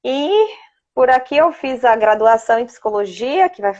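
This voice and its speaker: A woman's voice: a little high, expressive, normal speed, a little mellow, lo-fi sound. A woman in her thirties with a Bahian Brazilian accent, chatting casually and informally as an interview guest. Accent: Bahian Brazilian Portuguese. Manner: chatty, prone to laughing, checking with "né". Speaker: interview guest, child psychologist.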